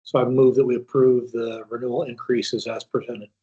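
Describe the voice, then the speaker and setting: Muffled, lo-fi sound carries a man with a rough, husky voice at a medium pitch. He speaks as a board member in a formal meeting. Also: level tone, normal speed, dark tone. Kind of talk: formal meeting question and motion. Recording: dull, lo-fi meeting audio.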